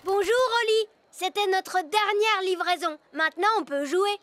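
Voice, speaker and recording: A woman's voice: very high voice, moderate pace, noisy background. A woman voicing a keen, playful little elf boy in a cartoon dub, with a boyish, childlike voice. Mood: cheerful. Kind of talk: animated cartoon dubbing. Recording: children's music behind the voice.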